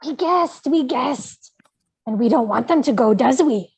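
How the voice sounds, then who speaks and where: A woman's voice: high voice, animated, creaky, darkish tone, husky, full character voice. A woman voicing a small, wretched, cunning creature with a whiny, hissing voice and broken grammar, at a fantasy script table read. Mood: fawning, wretched. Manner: slow, fawning, broken grammar.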